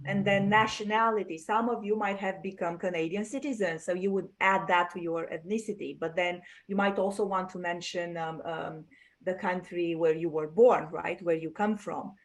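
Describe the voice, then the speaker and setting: A woman's voice: on the low side, steady tone, moderate pace, poor audio. Patient woman teaching an online class. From Romania. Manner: patient, explaining, checks understanding with "right?". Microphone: video call.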